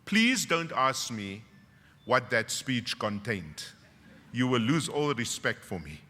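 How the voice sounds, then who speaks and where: Middle-aged south african prof giving a grad speech, big bright voice, dramatic storyteller, hall reverb trailing off everything. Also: male voice, mid-range voice, big pitch swings, slow. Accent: South African English. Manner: dramatic storyteller, swings from loud punchlines to hushed emotion, wry humor. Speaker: university professor speaking at a graduation. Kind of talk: ceremonial speech with personal stories. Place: large graduation hall. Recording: long hall reverb from a ceremony PA.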